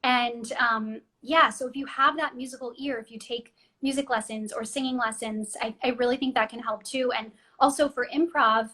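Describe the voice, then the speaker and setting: A woman's voice: a little high, steady tone, moderate pace, hardly any pauses, bright, forceful tone. A young adult woman chatting in an interview about voice acting, talking freely with many ums and restarts. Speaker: voice actress guest. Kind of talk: casual interview chat.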